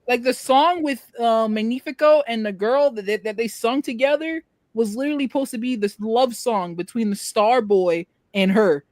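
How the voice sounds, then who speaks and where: A woman's voice: a little high, normal speed, hardly any pauses, darkish tone, no room sound. A woman chatting casually on a panel about films, arguing her points emphatically in slangy speech. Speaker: podcast panelist.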